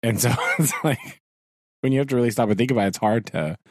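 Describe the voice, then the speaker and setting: A man's voice: medium pitch, fast, no room sound. A man, a teacher co-hosting a podcast, chatting casually, laughing often and acting out his own thoughts. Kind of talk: casual chat. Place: home.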